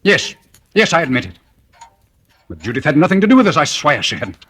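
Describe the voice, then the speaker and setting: Guilty young man, expressive, swinging voice. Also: fairly high pitch, brisk, slightly rough, heavily acted. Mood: resigned. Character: tense young man, guilty killer, protective and resigned. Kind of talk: 1940s radio thriller acting. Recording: noisy old radio drama recording.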